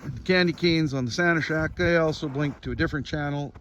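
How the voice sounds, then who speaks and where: A man's voice: fairly high pitch, a little fast, fairly bright tone, lo-fi sound. A man giving a casual, rambling, matter-of-fact tour of his yard, unscripted and easygoing. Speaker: homeowner giving a tour of his Christmas light display. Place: outdoors on a rural acreage. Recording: noisy outdoor amateur recording.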